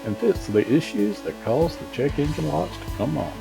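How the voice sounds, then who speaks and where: A man's voice: a little high, animated, normal speed, gravelly, music in the background. Casual man narrating a car repair. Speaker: DIY car repair video host. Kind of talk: casual hands-on how-to narration.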